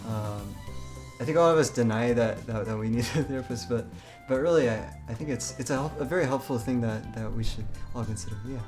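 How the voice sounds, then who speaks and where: A man talks casually on a live stream, moving between chat and reading from a game. His delivery is hesitant and stammering, often doubling back on words. His pitch sits in an average male range, and music plays clearly behind him. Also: fairly quick. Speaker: live streamer reading a visual novel aloud.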